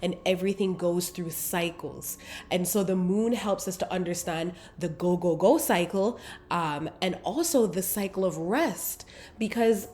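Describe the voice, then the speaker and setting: Mellow woman with a cold. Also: medium pitch. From Caribbean. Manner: affectionate, motivational, conversational. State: congested from a cold, sniffly. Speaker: podcast host. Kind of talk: casual spiritual podcast talk. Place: London apartment near a busy road.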